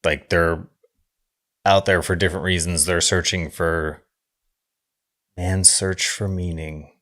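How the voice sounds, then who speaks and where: Deep voiced guy on a movie podcast, informal, thinks out loud, sorta halting. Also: flat delivery. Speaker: podcast co-host discussing films. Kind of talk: casual chat analysing a film.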